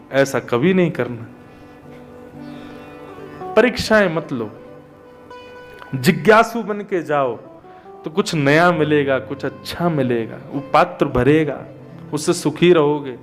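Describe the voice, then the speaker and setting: Guy preaching katha, high voice, takes his time, chatty storyteller, music playing behind. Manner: conversational, animated storytelling with rhetorical questions. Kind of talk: devotional discourse with everyday anecdotes.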